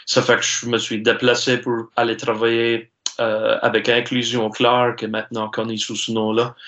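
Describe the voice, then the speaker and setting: A man in his forties with an Acadian French accent, answering interview questions earnestly, with many filler pauses. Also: medium pitch, completely flat delivery, a bit bright. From Baie-Sainte-Marie, Nova Scotia. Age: 40s. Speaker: guest, university sports director. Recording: dull lo-fi sound.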